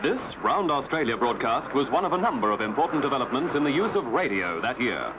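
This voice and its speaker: A man's voice: mid-range voice, sing-song, fairly quick, highs cut off. A man reading scripted documentary narration as a newsreel narrator. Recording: band-limited archive sound over music. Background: music.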